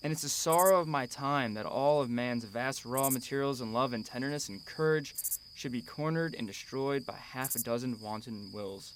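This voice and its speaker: A man plays a gentle expectant father in a radio drama. He speaks earnestly and tenderly, in a reflective way, over faint background noise. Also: mid-range voice, moderate pace, lightly acted. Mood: solemn, wistful.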